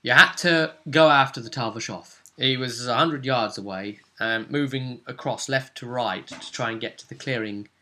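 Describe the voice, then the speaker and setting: A man speaking as game master, casually recapping a tabletop role-playing session in an animated, explanatory way. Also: mid-range voice, moderate pace, hardly any pauses.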